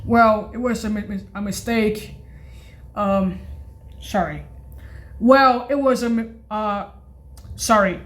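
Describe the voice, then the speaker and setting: A woman presenting an informal keyboard sound demo in a halting, repetitive way, often correcting herself. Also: medium pitch. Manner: halting, repetitive, frequently self-correcting and apologizing. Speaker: keyboard demonstrator presenting organ voice effects. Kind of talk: informal music demo, announcing preset names.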